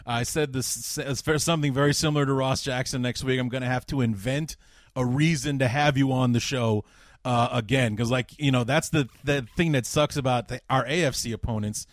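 A man hosting a football podcast, with a baritone voice and enthusiastic, joking banter in casual chat. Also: fairly quick, dry recording, clean studio sound. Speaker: podcast host.

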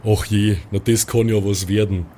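A man with a southern German accent playing a gruff, easygoing, beer-loving old soldier in an improvised role-play, rambling and folksy. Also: lowish voice, little pitch movement, normal speed, mellow, a little hiss or hum, close to an everyday voice. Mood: skeptical, grumbling.

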